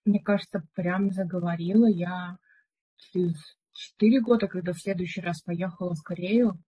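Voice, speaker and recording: A young woman co-hosting a podcast, telling stories in a chatty, relaxed way with lots of filler words. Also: on the low side. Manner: chatty storyteller, many fillers. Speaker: podcast co-host. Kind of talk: casual chat. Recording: dull, muffled recording.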